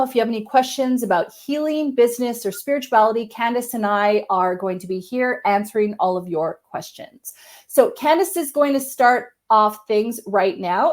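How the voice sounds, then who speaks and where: A woman hosting a live-streamed chat, a little husky from a cold, talking in an upbeat, chatty way. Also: medium pitch. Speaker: host.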